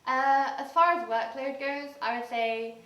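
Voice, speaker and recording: A woman's voice: high-pitched, fairly even intonation, unhurried, a bit bright. A young woman with an English accent, an exchange student giving articulate, upbeat interview answers. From England. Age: young adult. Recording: echoey room, mic at a distance.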